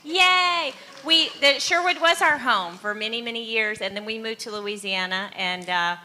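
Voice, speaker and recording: A woman's voice: fairly high pitch, moderate pace, bright, forceful tone, a little hiss or hum. A woman on a filmmaker panel speaking in an upbeat way and giving encouraging advice. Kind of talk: casual panel talk.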